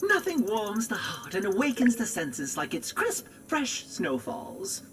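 A fussy, excitable male robot from a video game. The voice is high and swoops wildly, theatrical and wry, over clear background noise. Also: unhurried, heavily acted. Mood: wry, wistful. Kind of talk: animated video game acting.

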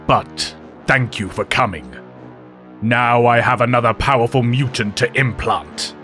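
A man playing a calm, sinister professor possessed by an alien, with a measured, falsely polite delivery in an audio drama. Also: medium pitch, faint music behind.

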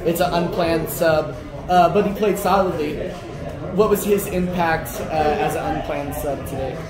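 A man's voice: fairly high pitch, moderate pace. A man interviewing a coach after a match, asking long, wandering questions with fillers. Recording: echoey hallway, crowd noise behind.

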